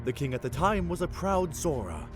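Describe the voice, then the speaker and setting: A man voicing a tall, excitable young fish-folk prince who tells tales in a grand, theatrical way, in a fan audio drama. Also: on the high side, moderate pace. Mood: dramatic storytelling. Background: music.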